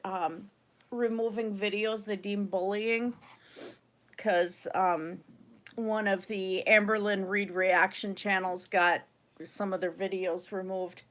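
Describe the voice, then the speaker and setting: A young woman with a stuffy cold, chatting casually and rambling as she hosts her own livestream from home. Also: mid-range voice. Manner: rambling, chatty, laughs often. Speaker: livestream host.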